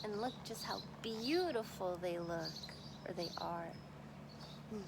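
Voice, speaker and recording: A woman teacher reading a picture book aloud to children expressively, pausing to think aloud and pose questions. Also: medium pitch, animated, heavy background noise.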